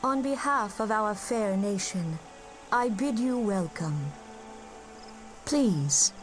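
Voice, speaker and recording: A woman's voice: mid-range voice, expressive, soft background music. An actress playing a serene, gracious female seer and leader in a fantasy game, speaking with formal, courtly diction. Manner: formal, measured, courtly archaic speech. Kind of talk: fantasy video game voice acting.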